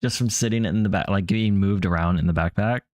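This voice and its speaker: A man with a very low voice speaks calmly and plainly, chatting with friends during a stream. His audio is dry and close. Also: a little fast, dry recording. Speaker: streamer's partner. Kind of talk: casual chat while gaming.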